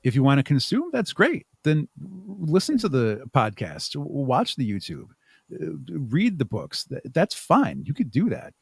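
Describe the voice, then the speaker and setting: A man's voice: on the high side, wildly swooping pitch, measured pace, creaky, slightly rough, no room sound. A man talking informally as an interview guest, hesitating and thinking aloud as he answers. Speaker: podcast guest, audio drama creator and podcaster. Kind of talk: casual interview chat.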